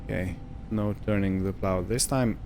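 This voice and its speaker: A man giving casual let's-play commentary on a video game, musing to himself in an unhurried way. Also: low voice, fairly even intonation, noisy background. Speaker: gamer narrating own farming simulator playthrough.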